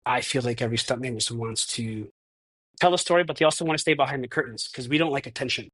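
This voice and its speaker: A man's voice: mid-range voice, bright, forceful tone, breathy edge. Reflective man in his thirties. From United States. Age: 30s. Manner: reflective, halting with many restarts. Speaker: guest, stuntman. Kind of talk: casual interview.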